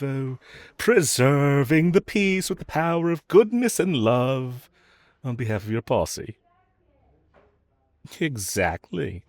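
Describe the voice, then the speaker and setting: Male actor as mocking villain, unhurried sarcastic drawl, very expressive, flirtatious, unrepentant, close dry audio roleplay. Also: mid-range voice, no echo. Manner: teasing, sarcastic drawl with chuckles. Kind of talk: audio roleplay acting, addressed to listener.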